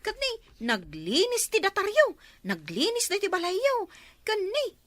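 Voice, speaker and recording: High, swooping, excitable neighbour woman acting. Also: unhurried, dry recording, heavily acted. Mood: impressed. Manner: exclamatory, animated. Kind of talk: Ilocano radio drama acting.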